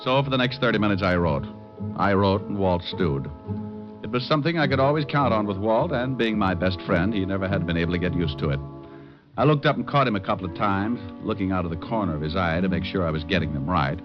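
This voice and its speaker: A man's voice: deep voice, expressive, quick pace. An American man acting a wisecracking, sardonic private detective in an old radio drama. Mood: wry narration. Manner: sardonic, flippant. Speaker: actor playing the lead detective. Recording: muffled old radio recording with music.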